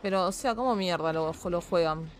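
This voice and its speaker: A woman's voice: on the low side, moderate pace, slight background noise. A woman with an Argentine Spanish accent who streams games, chatting casually in a blunt, slangy, foul-mouthed way. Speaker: game streamer. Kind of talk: casual chat while gaming.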